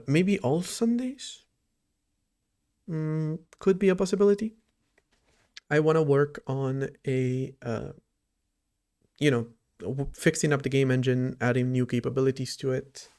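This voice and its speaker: A man's voice: mid-range voice, takes it slowly, long pauses, darkish tone, clean tone. A man streaming live while he programs, thinking aloud in a loose, rambling way and answering his chat as he goes. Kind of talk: casual livestream chat while coding.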